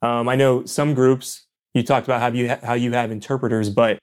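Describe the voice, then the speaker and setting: A man's voice: medium pitch, flat delivery, brisk. A man hosting a casual interview, asking long, knowledgeable questions about military life. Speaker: podcast host.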